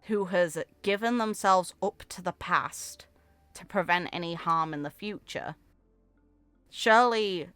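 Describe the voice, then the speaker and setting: A woman content creator talks casually through her reactions to a game. Her voice is on the low side, smooth and clean. It is recorded very dry, with soft music underneath. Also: no room sound.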